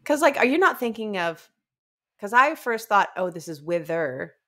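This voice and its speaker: A woman's voice: medium pitch, sing-song, moderate pace, bright, forceful tone. A woman in her thirties co-hosting a book club, chatting informally and acting out jokey paraphrases into a close mic. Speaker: book club podcast co-host. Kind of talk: casual book discussion. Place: small indoor room. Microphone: close studio microphone.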